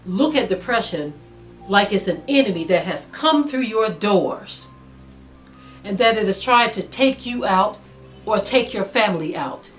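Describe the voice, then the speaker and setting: A woman preaching a sermon to an online audience, earnest and exhorting, slowing down to stress her points. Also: mid-range voice, expressive, faint music behind. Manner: earnest, exhorting, slow and emphatic with rising intensity. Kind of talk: spoken sermon to a video audience.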